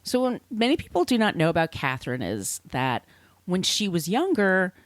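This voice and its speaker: Female podcast storyteller, slightly low register, conversational and explanatory with asides, close dry sound. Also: big pitch swings, normal speed, no room sound. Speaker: podcast host. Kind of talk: conversational storytelling of literary history.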